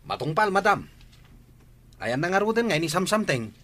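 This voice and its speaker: A man's voice: sits high, big pitch swings, moderate pace, a bit bright, full character voice. A man playing a cheeky, flirtatious household driver in a radio comedy-drama, sly in his delivery. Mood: obedient, puzzled.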